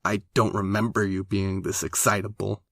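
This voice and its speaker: Narrator voicing a sarcastic young man; dry, cutting, unhurried. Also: on the low side, flat delivery, gravelly, fairly bright tone, no room sound.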